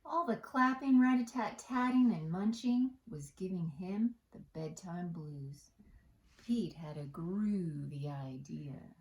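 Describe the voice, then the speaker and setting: A woman reading a picture book to young children as storytime host, singsong and deliberate, with asides to the listeners. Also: lowish voice, expressive, a little mellow. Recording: echoey room.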